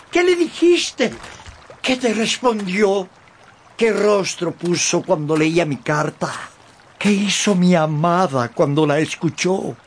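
A lovesick, gallant old knight in a radio play. He is grand and urgent, with a high, gravelly voice and clear ambience around him. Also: a little hiss or hum. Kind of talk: Spanish-language radio drama acting.

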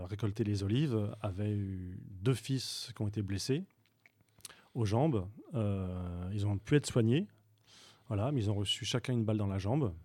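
A man speaking as a guest on a radio talk show, recounting his volunteer trip in a halting way with frequent fillers and restarts. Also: on the low side, mellow. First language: Arabic speaker. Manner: hesitant, many fillers and restarts. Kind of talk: radio interview.

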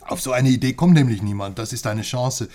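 A man's voice: mid-range voice, lively intonation, a little fast, a little mellow, close to an everyday voice. An actor playing a chatty, cheeky male friend and curious outsider, teasing and conspiratorial, in a radio crime drama.

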